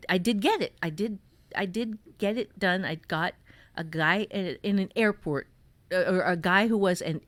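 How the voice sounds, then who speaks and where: Low-voiced woman, blunt writing mentor, dry sound. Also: no echo. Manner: blunt, emphatic, sweary. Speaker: novelist teaching fiction writing. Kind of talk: casual podcast conversation giving writing advice.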